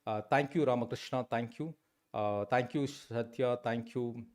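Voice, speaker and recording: A man's voice: medium pitch, steady tone, measured pace, a little mellow. A man in his forties with an Indian English accent, teaching a live online class with many fillers and restarts. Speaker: instructor. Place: indoor room, webcam.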